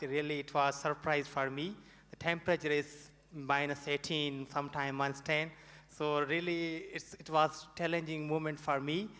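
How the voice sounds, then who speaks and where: A young man with a Pakistani accent answering informally on a student panel, in a halting way with repeated phrases. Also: fairly high pitch, pushed and bright, clear, resonant tone. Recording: reverberant hall over microphone.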